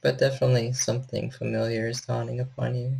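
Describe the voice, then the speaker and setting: A man serves as game master for a tabletop horror story. His medium-pitched voice has a noticeable creak, and he sets out each scene in measured, descriptive narration. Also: little pitch movement, darkish tone, poor audio.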